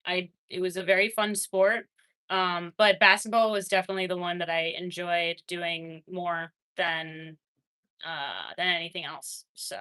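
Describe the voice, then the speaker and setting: A young adult woman streaming, casually chatting with her viewers and reading their messages, laughing often and swinging between excited and quiet. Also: on the low side, a little slow, clean tone. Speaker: livestreamer reading chat. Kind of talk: casual livestream chat.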